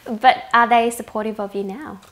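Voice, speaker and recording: A woman journalist asking an interviewee questions in a measured, direct way. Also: on the high side, fairly even intonation.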